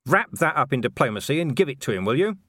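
A man's voice: medium pitch, sing-song, fast, pushed and bright, no room sound, clean studio sound, voice pushed up. A man voicing a stubborn, sardonic diplomat with pointed, mock-polite delivery in an audiobook. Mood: menacing politeness. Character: dry, sardonic, stubborn male human diplomat.

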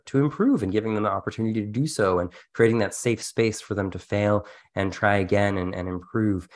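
A man co-hosting an educational podcast, talking through teaching advice in an earnest, explanatory, conversational way. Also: fairly low pitch. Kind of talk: conversational teaching-tips discussion.

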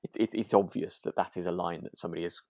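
A co-host speaks in a very low voice, recorded close and dry. The delivery is thoughtful and tentative, feeling its way through each point in relaxed conversation. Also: fast, dry recording. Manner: tentative, thoughtful, hesitant phrasing. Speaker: podcast co-host. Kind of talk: relaxed discussion of a radio drama.

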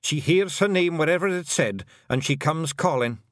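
A man voicing a small, fretful male clan chief with a broad Scottish accent, high, strained and pleading. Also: expressive, normal speed, no echo, clean studio sound, close to an everyday voice. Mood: wary. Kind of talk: audiobook character voice.

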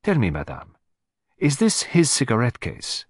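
Casting: polite, playful, precise foreign detective for audiobook mystery man acting, lilting pitch, dry studio sound. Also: wildly swooping pitch, unhurried, slightly rough, dry recording, heavily acted. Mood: courteous, probing. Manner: polite, precise, teasing, with French phrases. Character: shrewd, courteous, playful little foreign male detective.

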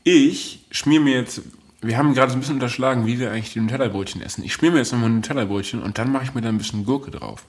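A man's voice: mid-range voice, very fast. A man hosting a casual food podcast, joking along in colloquial, playful banter. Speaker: podcast host.

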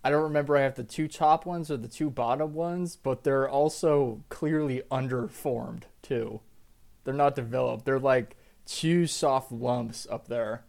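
A man's voice: medium pitch, measured pace, gravelly, a little mellow. A young man co-hosting a podcast in casual chat, with a laid-back, teasing way of talking. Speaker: podcast co-host.

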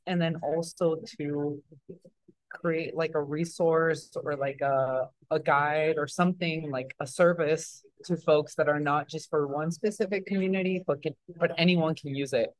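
A man's voice: on the high side, no echo, poor audio. Rambling young man, dry sound. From Mexico. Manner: rambling, many fillers and restarts. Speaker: interview guest. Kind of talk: casual interview talk.

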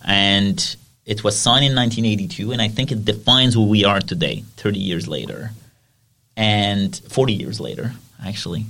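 Man with a French accent, gravelly low voice, podcast host, long rambling questions. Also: normal speed, sharp tone. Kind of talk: conversational interview.

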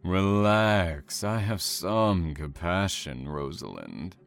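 A man's voice: low voice, lively intonation, takes it slowly, gravelly, smooth, full character voice. An actor playing a sadistic serial killer father in an audio thriller, taunting his victim with mock politeness and false tenderness. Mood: calm taunting. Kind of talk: audio thriller drama acting.

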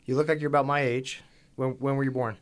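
An upbeat man in his forties hosts a casual podcast conversation with an easy, friendly flow. He talks in a loose, chatty way and is quick to joke about himself. His pitch sits in a typical middle range. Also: level tone. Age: 40s. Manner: upbeat, chatty, self-deprecating. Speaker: podcast host. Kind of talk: casual interview chat.

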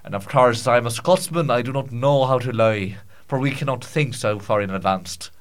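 A big-bellied Scottish storyteller, put on by a man, holds forth in a mid-pitched voice. He is pompous and mock-solemn, reaching for archaic phrases. His improvised comic monologue rambles, stumbles and circles back on itself. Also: moderate pace, close to an everyday voice. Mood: grandiose, rambling.

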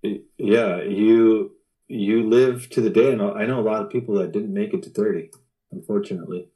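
A man's voice: lowish voice, steady tone, moderate pace, dull audio. A man in his thirties in casual conversation, blunt and sweary, often repeating his phrases. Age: 30s.

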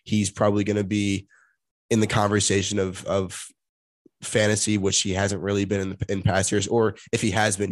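A congested man co-hosting a sports podcast, speaking in a monotonous tone. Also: on the low side, no echo. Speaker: podcast co-host. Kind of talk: casual sports podcast chat.

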